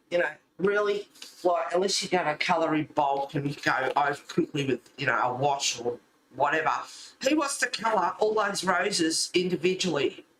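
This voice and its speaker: Guy with a highish voice rambling casually while colouring, thinking out loud, bit of background noise. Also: echoey room, rough recording. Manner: informal, rambling, thinking aloud. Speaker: host of a colouring channel.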